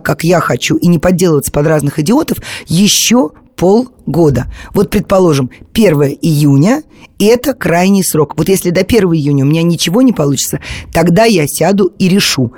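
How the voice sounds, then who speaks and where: A woman co-hosting an advice podcast, speaking slowly and emphatically with firm opinions. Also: fairly low pitch, darkish tone, breathy edge. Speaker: podcast co-host. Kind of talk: casual discussion.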